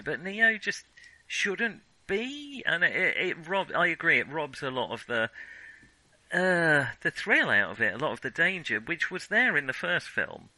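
Animated man with a bright, forceful voice. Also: on the high side, no room sound, poor audio. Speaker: podcast co-host. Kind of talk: casual film discussion.

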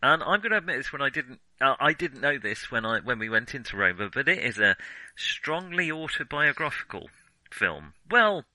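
A man co-hosting a casual film podcast, talking in a halting, self-interrupting way with a jokey, self-deprecating streak. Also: mid-range voice, sing-song, pushed and bright, dry recording, poor audio. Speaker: podcast co-host.

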